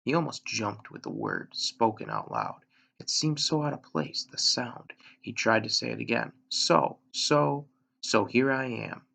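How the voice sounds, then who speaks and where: A man with a gravelly voice reading a children's novel aloud, measured, with dramatic pauses and emphasis. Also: lowish voice, pushed and bright. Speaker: elementary school reader, story narrator.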